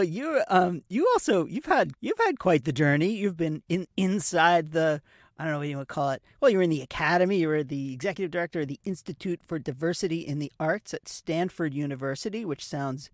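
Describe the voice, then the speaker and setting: A man hosting an interview in a casual, somewhat halting way, correcting himself as he frames his questions. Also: fairly high pitch, lively intonation, dry recording, studio quality. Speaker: interview host.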